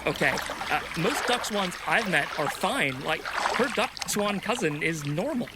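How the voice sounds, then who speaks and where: A man's voice: high voice, animated, a little slow, heavy background noise. A man playing a gushing, easily offended male waterfowl fan in a comedic audio drama. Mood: offended, huffy.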